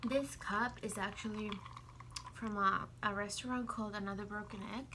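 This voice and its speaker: Woman from Finland chatting over clear noise. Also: medium pitch, fairly even intonation, normal speed. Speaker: mukbang vlogger. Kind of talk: casual chat while eating. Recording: noisy room sound behind the voice.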